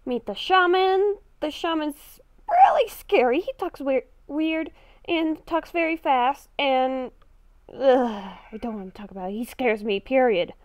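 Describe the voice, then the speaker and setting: A woman playing a confused, exasperated young werewolf-vampire hybrid in an improvised game roleplay, thinking aloud in a halting, dramatic way. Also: high voice, lively intonation, no room sound. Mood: anxious, exasperated. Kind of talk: improvised Minecraft roleplay narration while playing.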